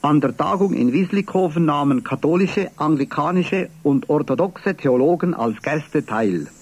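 A man from Valais with a Swiss accent, presenting church news on the radio in a formal, measured reading. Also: fairly high pitch, animated, darkish tone. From Valais, Switzerland. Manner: formal, measured, clear delivery.

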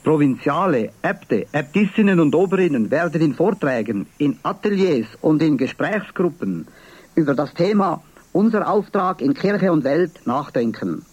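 A man from Valais with a Swiss accent, presenting church news on the radio in a formal, measured reading. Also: on the high side, lively intonation, no echo. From Valais, Switzerland. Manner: formal, measured, clear delivery.

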